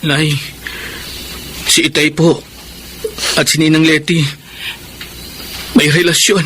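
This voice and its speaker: Seryosong binatang anak, matalas ang boses. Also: male voice, fairly high pitch, little pitch movement, takes it slowly, bright, forceful tone, slight background noise. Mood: indignant. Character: earnest young son, indignant protective older brother. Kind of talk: Filipino radio drama acting.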